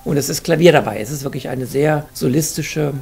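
A middle-aged man, the musical arranger, speaks thoughtfully in an indoor interview, pausing with fillers as he searches for words. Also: fairly high pitch, gravelly, a little mellow. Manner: thoughtful, hesitant with fillers, gestures while talking.

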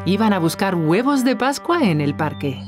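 A woman's voice: medium pitch, big pitch swings, moderate pace, music in the background, close to an everyday voice. A woman narrating a scripted children's cartoon story for young listeners.

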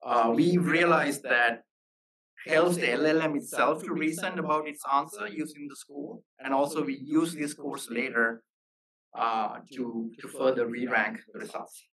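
A man presenting as a software engineer at a meetup, explaining technical material in halting phrases. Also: on the high side. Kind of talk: technical conference talk. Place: live meetup talk. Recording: voice slightly distant from microphone.

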